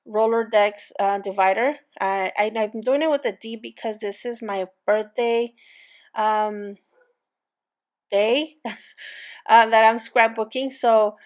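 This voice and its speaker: A woman with a light accent talks her viewers through a crafting project. Her voice sits a little high, and she rambles in a friendly, chatty way, full of fillers and restarts. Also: fairly even intonation, a little slow. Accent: non-native English accent. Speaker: crafting channel host. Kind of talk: casual crafting tutorial chat.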